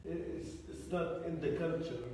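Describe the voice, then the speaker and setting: A guest musician, talking in careful, halting bursts that restart often. His voice is warm and mellow and a little higher than most men's. It comes from a distance through heavy hall echo over a faint hiss. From Palestine. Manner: halting, thoughtful explanations with many restarts. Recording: echoey hall from far off, faint noise.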